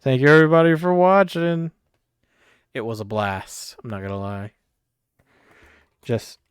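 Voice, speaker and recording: A man's voice: medium pitch, smooth, dry recording, clean studio sound. A man chatting casually as the host of a video game livestream.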